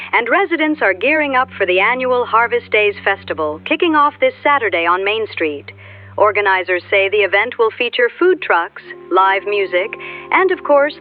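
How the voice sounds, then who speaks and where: An actress playing an upbeat small-town local radio news anchor, with an American accent and polished chirpy delivery. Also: female voice, medium pitch, natural voice. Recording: dull radio broadcast, music behind.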